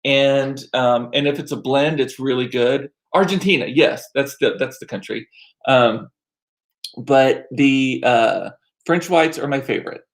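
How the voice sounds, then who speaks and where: A middle-aged American man chatting casually as a livestream host, rambling along with self-deprecating asides and animated, repeated words for emphasis. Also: medium pitch, measured pace. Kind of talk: casual chat to live viewers. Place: small home room used as studio.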